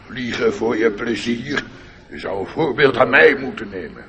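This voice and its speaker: An actor voicing a grumpy, self-important old cockchafer beetle with a pompous, scolding manner in a radio drama. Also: male voice, mid-range voice, moderate pace, sharp tone, big-room sound, slight background noise. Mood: indignant.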